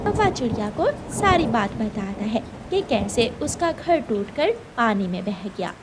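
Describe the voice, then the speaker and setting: A woman narrator with a very high voice reads a scripted story for children. Loud thunder and rain noise sits behind her words. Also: big pitch swings, unhurried.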